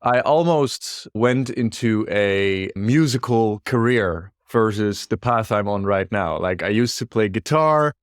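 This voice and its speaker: A young Dutch man with a Dutch accent, a startup founder chatting as an interview guest, relaxed and laughing softly. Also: on the low side, measured pace, hardly any pauses, clean tone, no room sound, studio quality. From Netherlands. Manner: relaxed, frequent restarts and soft laughs. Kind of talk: casual interview chat.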